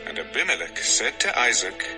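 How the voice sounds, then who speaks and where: A male narrator tells a scripture story over clear music. His mid-pitched voice moves expressively up and down, and his delivery is measured and scripted. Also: big pitch swings, rough recording.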